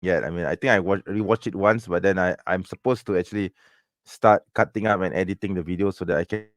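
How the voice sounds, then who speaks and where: A man's voice: low voice, steady tone, quick pace, no echo, clean studio sound. A man with a Malaysian accent hosting a live stream in casual conversation, often repeating himself and confirming with yeahs. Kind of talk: casual chat.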